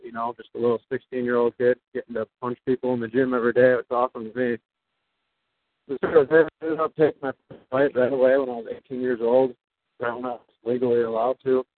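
A young man from Wisconsin answers questions in a relaxed, plain-spoken way, dropping in easy fillers. He comes through a tinny, narrow phone line. His voice sits at a middle pitch. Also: dry recording. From Wisconsin, USA. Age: 20s. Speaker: guest fighter. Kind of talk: casual interview answers.

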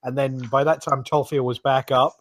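A man's voice: mid-range voice, flat delivery, normal speed, slight background noise. A man on a podcast panel casually retelling stories in a rambling way, often searching for names. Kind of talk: casual chat, retelling gameplay anecdotes.

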